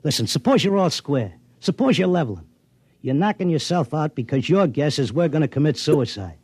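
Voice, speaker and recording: An actor playing a brash, slangy racetrack tipster who also narrates, in a 1950s radio drama. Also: male voice, on the high side, big pitch swings, brisk, darkish tone, husky, no room sound, full character voice. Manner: streetwise and slangy. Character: brash fast-talking racetrack tipster, middle-aged man. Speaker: narrator and lead.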